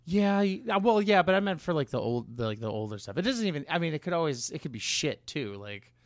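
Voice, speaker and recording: A man chatting casually as a podcast co-host, halting and rambling as he corrects himself along the way. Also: fairly high pitch, big pitch swings, no room sound. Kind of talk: casual chat.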